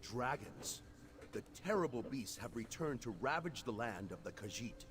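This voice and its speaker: A man's voice: medium pitch, lively intonation, normal speed, noisy background, natural voice. A man playing an honorable, earnest sword apprentice in a fantasy video game, with formal, solemn wording. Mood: earnest, urgent. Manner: formal, solemn herald-like delivery.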